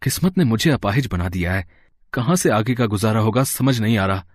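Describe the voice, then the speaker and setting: A man's voice: on the low side, lively intonation, a little fast, no room sound, studio quality. A man voicing a bitter, despairing young husband in an audio story, speaking with dramatic reproach.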